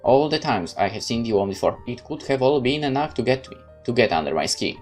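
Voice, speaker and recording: A man's voice: mid-range voice, lively intonation, a little mellow, faint music behind. A man giving a theatrical first-person reading of a horror visual novel. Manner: measured, theatrical narration. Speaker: game let's-play narrator.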